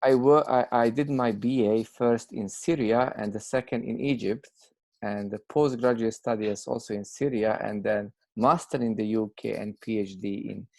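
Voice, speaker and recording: A man's voice: on the low side, fairly even intonation, normal speed, vocal fry, darkish tone, dry recording. A man in his thirties with a Bosnian accent, giving explanatory answers as an academic in an interview. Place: indoors, video call.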